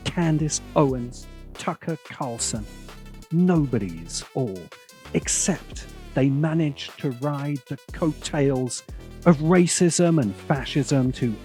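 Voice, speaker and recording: A man's voice: on the high side, mellow. A man hosting a scripted video essay, narrating with sardonic, dramatic emphasis. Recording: music playing behind the voice.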